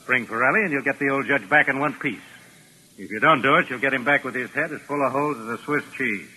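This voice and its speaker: A man's voice: mid-range voice, quick pace, pushed and bright, husky. A man acting a tough, bossy American gangster in a 1940s radio drama, with slangy, curt, menacing talk. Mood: boastful menace. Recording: noisy vintage 1940s radio recording.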